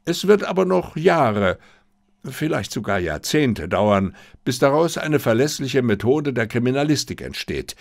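Audiobook detective played by a male narrator: confident, unruffled, playful, lively pitch swings, gravelly edge. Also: unhurried. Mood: knowing. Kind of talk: audiobook character dialogue.

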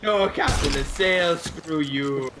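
A man with a slightly high voice talks as a crewmate in a game. His chat is casual and playfully in role, with clear game noise behind it. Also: slow. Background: video game sounds.

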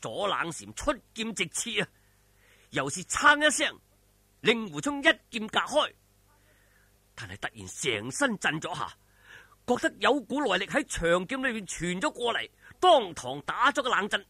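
A man's voice: high-pitched, sing-song, moderate pace, pushed and bright, slightly rough, no echo. A man with a Hong Kong Cantonese accent telling a martial arts story in a dramatic, colloquial way, with exclamations and vocal sound effects. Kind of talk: animated oral storytelling of a martial arts novel.

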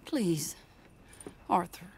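An actress playing a genteel young woman in a dramatic game cutscene, earnest and halting, pleading. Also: on the low side, lively intonation, gravelly, darkish tone, a little hiss or hum, full character voice. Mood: soft pleading.

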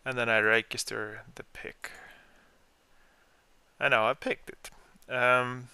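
A man explaining software step by step in an informal screen-share tutorial, as the trainer. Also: medium pitch, flat delivery, takes it slowly, clear, resonant tone, no echo.

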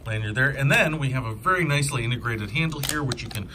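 Bright-voiced man, casual and enthusiastic. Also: mid-range voice, animated, fast talker, slight background noise. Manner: enthusiastic, informal, conversational. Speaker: product reviewer. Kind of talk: casual hands-on review.

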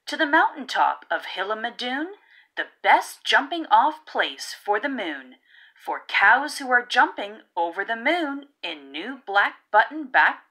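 Female children's storybook narrator, unhurried and singsong, with lively swooping pitch and theatrical emphasis. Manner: rhythmic, theatrical, drawn-out emphasis. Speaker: read-aloud narrator of children's picture books. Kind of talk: expressive storybook reading.

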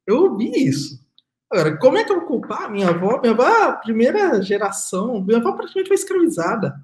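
A woman's voice: fairly high pitch, very animated, fast, a little mellow. A middle-aged woman artist speaking in a panel discussion over a video call, reflective and emphatic, repeating her key phrases. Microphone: video call webcam.

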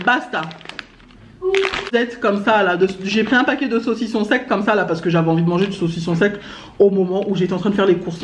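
Woman, family vlogger, slangy casual chat, echoey room, background noise. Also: mid-range voice. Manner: informal, slangy. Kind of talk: casual haul vlog chat. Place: indoor living room at home.

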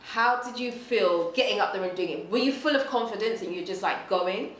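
A woman hosting an interview, quick and encouraging, affirming her guest often. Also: medium pitch, a bit bright, a little hiss or hum. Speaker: interviewer, host. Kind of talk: casual interview conversation. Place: small indoor studio room. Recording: roomy indoor sound.

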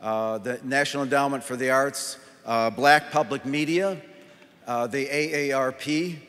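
A man in his sixties giving an informal, somewhat halting acceptance speech at a podium microphone. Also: mid-range voice, fairly bright tone. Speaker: award recipient, filmmaker. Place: large awards hall stage, indoors. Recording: reverberant hall with audience sound. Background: audience.

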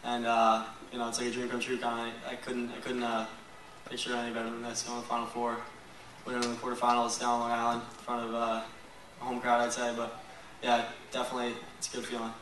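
Young man, monotone, distant echoey. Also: mid-range voice, slight background noise. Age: young adult. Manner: modest, halting. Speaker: college lacrosse player. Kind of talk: postgame press conference answers. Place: press conference room. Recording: roomy echo from far off.

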